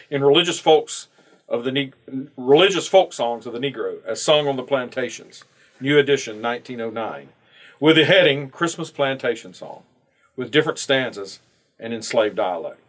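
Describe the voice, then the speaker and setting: A man reading a scripted church devotional aloud in a measured, formal way, pausing between phrases. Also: mid-range voice. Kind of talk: scripted narration, read aloud.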